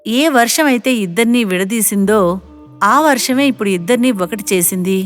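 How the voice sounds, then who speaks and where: A woman narrating an animated moral story in a scripted storytelling style. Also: fairly high pitch, moderate pace, big-room sound, faint music behind.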